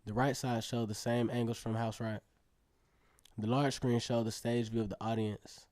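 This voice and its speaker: A man's voice: medium pitch, little pitch movement, normal speed, a little mellow, dry recording, lightly acted. An actor playing an eager young student who narrates his own story earnestly in a radio drama. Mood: awed. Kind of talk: scripted first-person radio drama narration.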